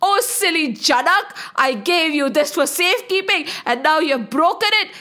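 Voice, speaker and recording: A woman playing a shouting, furious old warrior sage. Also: extremely high pitch, animated, normal speed, pitched above the performer's own voice, exaggerated voice. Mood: enraged, boastful. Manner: shouting, threatening, haughty. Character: furious old warrior sage, hot-tempered, threatening, boastful. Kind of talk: children's mythological storytelling.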